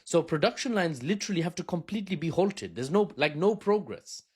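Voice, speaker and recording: A man hosting a casual political commentary, animated and joking with sarcastic asides. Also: on the high side, a little fast, breathy edge. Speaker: host.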